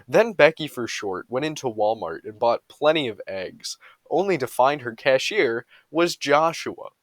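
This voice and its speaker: A man's voice: mid-range voice, fairly bright tone, no echo. A man reading humorous bedtime stories aloud with a mock-serious storytelling delivery.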